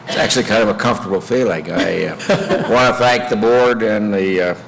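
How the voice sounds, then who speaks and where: A man making impromptu public remarks as mayor, speaking in a halting, deliberate way. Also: lowish voice, creaky, fairly bright tone. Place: public meeting room, at a podium. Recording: reverberant meeting room, background noise.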